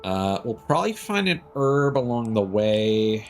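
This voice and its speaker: A man's voice: on the low side, big pitch swings, measured pace, soft background music. A man giving casual live gameplay commentary as a streamer, thinking aloud as he plays. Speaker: game streamer.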